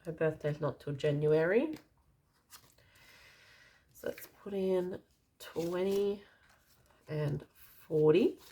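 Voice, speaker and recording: An Australian woman with a slightly croaky, dry voice, casually narrating as she counts out cash in an unhurried way. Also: sits low, mellow. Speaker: budgeting vlogger.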